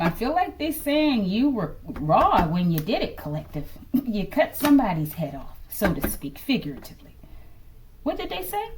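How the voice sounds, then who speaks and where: A woman giving a casual tarot reading to her viewers, musing aloud and often ending phrases low as she addresses them. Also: a little mellow, a little hiss or hum. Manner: musing, repeatedly addresses listeners as collective, trails off low at line ends. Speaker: tarot reader.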